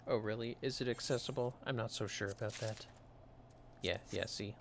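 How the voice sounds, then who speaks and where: A man's voice: on the low side, steady tone, normal speed, background noise. A man giving casual livestream commentary on a game, muttering short remarks to himself. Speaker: livestreamer playing an online fantasy game. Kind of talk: casual gaming stream commentary. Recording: loud game music behind voice. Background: game music.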